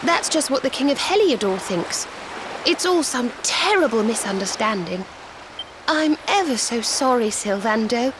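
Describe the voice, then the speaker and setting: Gentle, high-voiced young heroine. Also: female voice, measured pace. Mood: apologetic, earnest. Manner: polite, soft-hearted, apologetic. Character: gentle, earnest, worried young girl adventurer. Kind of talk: video game voice acting. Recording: clear steady watery background noise.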